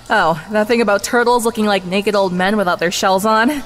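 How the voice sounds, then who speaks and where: A woman's voice: medium pitch, normal speed, slight background noise, lightly acted. A woman playing a lonely, possessive, childlike snake woman in an audio drama. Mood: curious, playful.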